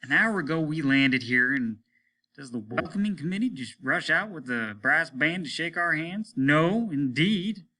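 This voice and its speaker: An aging rocket captain played by a male reader, weary and scornful, with a piercing, forceful edge. He pitches his voice up and snaps out orders and indignant questions like someone used to command in this audiobook tale. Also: expressive, a little slow. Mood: scornful, impatient. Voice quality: sharp. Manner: snapping, indignant, barking rhetorical questions. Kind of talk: audiobook character voice.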